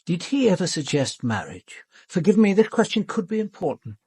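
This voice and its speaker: An actor playing a courteous but unyielding police commander in a radio drama, formal, measured and probing. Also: male voice, high voice, very animated, dark tone, slightly rough, no echo, clean studio sound, heavily acted.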